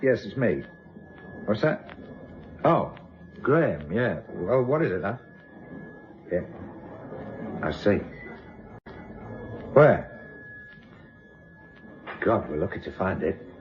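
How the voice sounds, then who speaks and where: An actor playing a brusque, sardonic British chief inspector in a radio crime drama, gruff and given to barking orders. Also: male voice, fairly low pitch, big pitch swings, takes it slowly, long pauses, faint music behind. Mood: terse, urgent. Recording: muffled, noisy radio drama recording.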